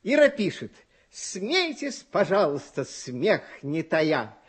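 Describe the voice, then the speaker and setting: A man hosting a children's radio show, presenting in a lively comic style and reading letters with expressive storytelling. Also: high-pitched, takes it slowly, slightly rough.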